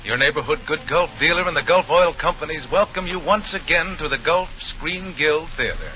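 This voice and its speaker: Very bright, forceful 1940s radio host. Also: male voice, a little high, moderate pace. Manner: formal, showmanlike. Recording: dull, lightly noisy 1940s radio broadcast.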